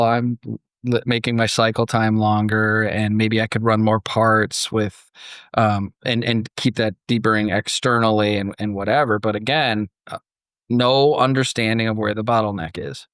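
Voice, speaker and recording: A man hosting a podcast, moving between storytelling and casual discussion, acting out imagined quotes in an animated way. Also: fairly low pitch, normal speed, clean tone, no echo, clean studio sound. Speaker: podcast host.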